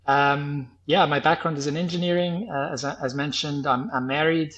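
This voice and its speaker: A man in his thirties from Switzerland, speaking with a Swiss accent that carries a faint trace of New Zealand, answering interview questions thoughtfully, with many restarts and pauses. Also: a little high, fairly even intonation, fairly bright tone, highs cut off. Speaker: podcast guest, former health tech startup founder.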